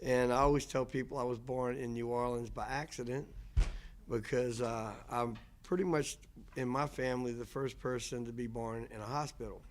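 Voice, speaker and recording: A man, giving a talk about his life in an unhurried, reflective way. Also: mid-range voice, fairly even intonation, a little hiss or hum. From Louisiana. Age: 60s. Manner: unhurried, reflective, trailing restarts. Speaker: guest lecturer. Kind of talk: personal storytelling talk.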